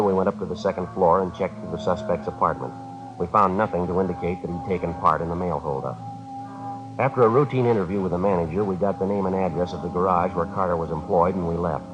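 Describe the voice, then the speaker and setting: Fast, low-voiced deadpan actor as police detective. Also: male voice, close to an everyday voice. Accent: American. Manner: clipped, deadpan. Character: terse, relentless plainclothes police detective, matter-of-fact. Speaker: actor narrating and playing a detective. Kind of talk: 1950s radio police drama. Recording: dull vintage radio broadcast with music.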